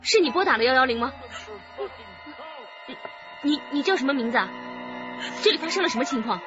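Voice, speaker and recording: A woman's voice: sits high, lively intonation, brisk. An actress playing a caring young policewoman with a coaxing manner in a crime radio drama. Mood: reassuring. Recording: dull lo-fi audio with music behind.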